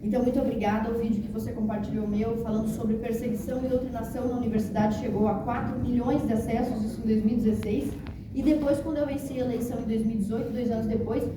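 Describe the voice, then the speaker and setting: A woman from Santa Catarina with a rural Santa Catarina accent, a former state deputy giving a speech at a public event, thanking people and joking with the audience. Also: fairly high pitch. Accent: rural Santa Catarina Portuguese. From Santa Catarina, Brazil. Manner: warm joking asides, grateful acknowledgments. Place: large council hall with audience. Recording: distant mic, echoey hall, noisy crowd.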